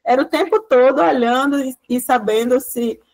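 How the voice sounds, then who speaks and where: A woman's voice: sits high, moderate pace, darkish tone. A woman giving a rambling guest lecture over a video call, full of tag questions and restarts. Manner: rambling explanation, frequent "né" tags and restarts. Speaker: guest lecturer, project coordinator. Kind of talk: online class talk.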